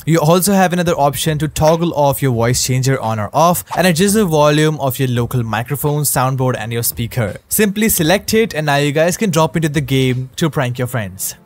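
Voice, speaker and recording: A man's voice: fairly high pitch, expressive, brisk, hardly any pauses, a little hiss or hum. A man hosting a scripted tutorial and review as a YouTube narrator. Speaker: YouTube host. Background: faint music.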